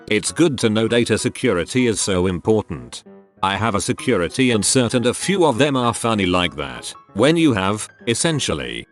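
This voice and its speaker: A man with a lowish voice reads first-person online posts aloud in a steady narrating manner. His pitch moves around a lot, keeping the reading animated. Faint music plays quietly behind him.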